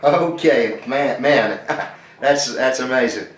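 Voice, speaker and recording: A man's voice: medium pitch, slow pace, slight background noise. Male ham operator, easygoing radio chat, spells words phonetically, room echo on the mic. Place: home radio station in Mexico.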